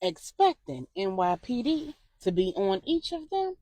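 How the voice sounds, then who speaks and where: A woman storyteller reading a scripted crime story with expressive delivery. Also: mid-range voice, unhurried, no room sound.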